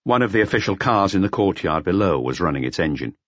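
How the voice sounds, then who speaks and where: A man's voice: sits low, big pitch swings, fast talker, dry recording. A man narrating a scripted audiobook in a dramatic storytelling style. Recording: slightly dull, dry audiobook recording.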